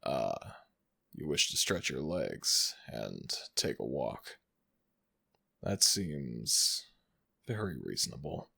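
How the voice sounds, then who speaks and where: A man voicing an ancient jackal god in an audio roleplay, gentle, teasing and fond toward the listener. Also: very animated, heavily acted. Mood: playful warm. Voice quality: very deep gravelly voice, slow and soothing. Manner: affectionate, playfully self-mocking.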